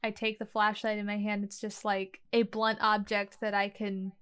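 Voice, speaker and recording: Clear-voiced woman playing a tabletop game. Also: mid-range voice, monotone, normal speed, a bit bright. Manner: conversational, piecemeal phrasing. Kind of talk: improvised in-character description in first person.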